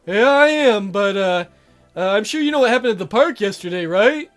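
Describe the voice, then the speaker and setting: A man voicing an awkward teenage boy in a dating sim, halting and offhand, gently reproachful toward a friend. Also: sits high, animated, soft background music, voice pushed down. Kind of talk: dating sim dialogue read aloud.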